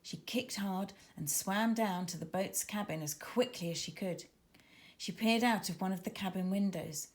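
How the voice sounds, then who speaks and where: A woman reading her children's book aloud as a dramatic, suspenseful and expressive narrator. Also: medium pitch, moderate pace. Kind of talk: expressive scripted story narration.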